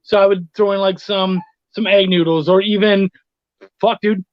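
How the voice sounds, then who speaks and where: A man's voice: high-pitched, level tone, normal speed, dry recording, muffled recording. A man chatting casually as an interview guest, with informal, slangy talk. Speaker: guest, comics writer and editor. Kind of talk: casual video chat.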